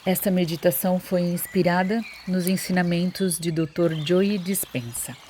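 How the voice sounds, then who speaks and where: A Brazilian woman narrating a scripted guided meditation in a soothing, measured, instructional manner. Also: lowish voice, steady tone, warm tone. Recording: constant waterfall noise behind the voice. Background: waterfall and nature sounds.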